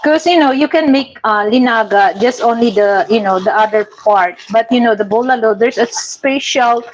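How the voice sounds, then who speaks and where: Filipino lady chatting slow in her kitchen, fairly high voice, lots of "you know", bit of faint hiss behind. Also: poor audio. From Philippines. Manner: halting, many fillers and restarts. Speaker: vlogger. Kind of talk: casual live chat with viewers. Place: home kitchen.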